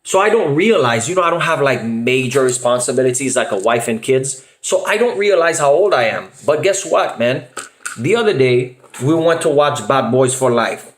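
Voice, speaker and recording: A man's voice: medium pitch, animated, moderate pace. A middle-aged man chatting casually and earnestly as a video host, with emphatic repetition and slangy asides. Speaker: host of a reaction video channel. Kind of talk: casual chat. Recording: echoey, roomy sound.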